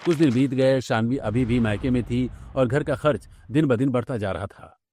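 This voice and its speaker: A man's voice: medium pitch, normal speed, a little mellow, a little hiss or hum. A man narrating a scripted domestic story for a cartoon.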